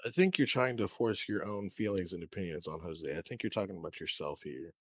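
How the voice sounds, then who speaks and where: A man's voice: deep voice, brisk, no room sound, studio quality. A young man co-hosting a podcast in casual chat, laid-back and teasing, swearing freely. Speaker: podcast co-host.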